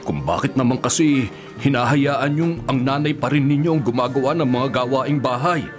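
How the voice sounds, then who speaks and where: A man's voice: medium pitch, moderate pace, over music, lightly acted. A Filipino man acting in a radio drama as a protective older uncle, reproachful and concerned in manner. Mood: scolding. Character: protective, scolding older uncle of grown siblings.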